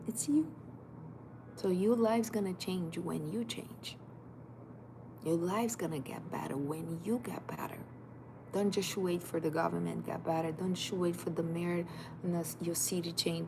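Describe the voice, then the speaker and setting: Woman in her thirties, life coach, emphatic and direct, lowish gravelly voice. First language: Portuguese. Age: 30s. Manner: emphatic, direct, repetitive second-person urging. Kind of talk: motivational livestream talk. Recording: noisy background.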